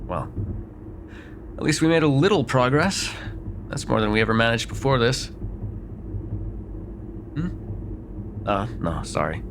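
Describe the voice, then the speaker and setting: A man's voice: medium pitch, animated, measured pace, long pauses, background noise. A man playing a sardonic, grudgingly apologetic vampire in an immersive roleplay, talking in a wry, hesitant, conversational way with some swearing. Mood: wry, grumbling. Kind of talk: immersive ASMR roleplay acting, one side of a dialogue.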